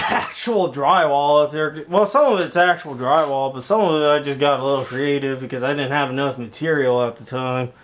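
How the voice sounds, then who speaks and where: Male vlogger, slightly high voice, halting and off-the-cuff, showing his gear on a handheld camera. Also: fairly bright tone. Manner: rambling, halting, thinking aloud. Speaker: video creator showing a home intercom and speaker system. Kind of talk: casual walkthrough vlog. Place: indoor garage workshop.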